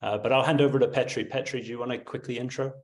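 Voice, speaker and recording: A man's voice: mid-range voice, steady tone, very fast, darkish tone. A man speaking as a webinar guest, hesitant, with stammering starts. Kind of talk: conversational self-introduction.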